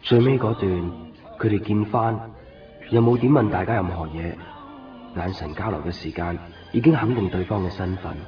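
A man's voice: lowish voice, moderate pace, lightly acted. An actor playing a wistful young man with a Hong Kong Cantonese accent in reflective radio drama monologue. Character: wistful lovelorn young man recalling a film date. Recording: dull 1990s radio recording. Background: music.

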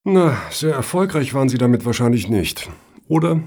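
An actor playing a blunt, sardonic male panel member in a radio satire, with a husky voice and needling manner. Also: medium pitch, animated, normal speed, darkish tone.

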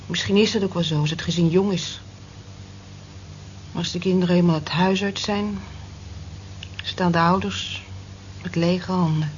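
A woman's voice: lowish voice, steady tone, normal speed, pauses often, natural voice. An actress in a radio drama playing a weary, discontented woman in her forties, reflective and plaintive. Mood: wistful. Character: weary, wistful working woman of forty-four, discontented. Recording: dull lo-fi old radio recording, hiss.

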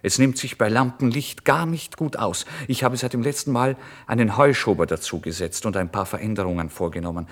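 A man voicing a gruff, irritable painter who blusters impatiently at a late visitor in an audiobook. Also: lowish voice, fairly quick, hardly any pauses, pitched above the performer's own voice. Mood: irritated, blustering. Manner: blustering, impatient, hesitant starts.

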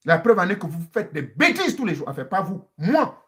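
A man's voice: very animated, normal speed, a bit bright. Emphatic preaching man. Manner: emphatic, repeats phrases, swings between high insistence and low murmur. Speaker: traditional spiritual teacher. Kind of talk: preachy monologue to online followers.

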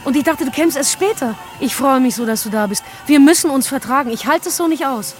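A woman's voice: sits high, fairly quick, soft background music, lightly acted. An actress playing a clingy, needy, emotional woman who pleads insistently in a radio crime drama. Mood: overwrought, pleading.

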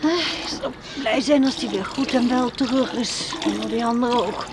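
An actress playing a kind but busy farm mother who soothes and gently scolds her boys in a Dutch radio play. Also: fairly high pitch, fairly even intonation, moderate pace, crowd noise, lightly acted. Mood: indulgent. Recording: noisy old radio play recording.

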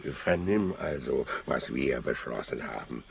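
An actor playing a solemn jungle animal elder in a children's radio play, speaking deliberately. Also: male voice, rumbling low, expressive, vocal fry, heavily acted.

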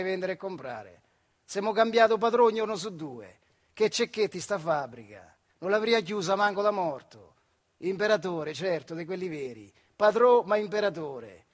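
An actor playing a bitter, weary retired factory worker in a theatrical monologue, with a central Italian accent from the Marche, swinging between muttering and outbursts. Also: male voice, high voice. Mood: bitter, heated. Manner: colloquial, rhetorical questions, swings from muttering to outbursts. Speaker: stage actor. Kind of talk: theatrical monologue in dialect-tinged speech.

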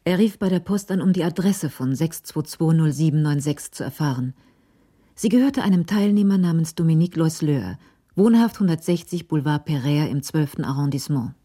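A woman narrating a radio crime drama in a measured, literary way. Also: sits low, expressive, dark tone, slightly rough.

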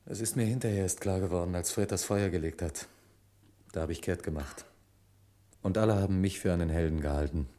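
An actor playing a desperate, guilt-ridden man hiding a crime in a vintage radio crime play, with a husky, weary delivery. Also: sits low, a little fast, warm tone, close to an everyday voice. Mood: despairing confession.

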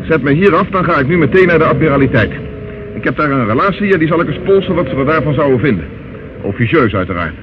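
A man's voice: on the high side, sing-song, fast talker, noisy background. Determined policeman, muffled old recording. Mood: firm, dry. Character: brisk, determined male police investigator. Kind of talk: radio play acting.

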